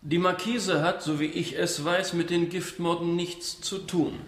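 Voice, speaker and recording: An actor playing a nervous, evasive witness in a radio drama. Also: male voice, sits high, fairly even intonation, measured pace, roomy sound.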